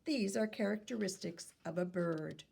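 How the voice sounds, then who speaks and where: A woman reading aloud to children like a teacher, in an instructive manner, pausing to pose questions to young listeners. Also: medium pitch, gravelly, a little mellow, breathy edge.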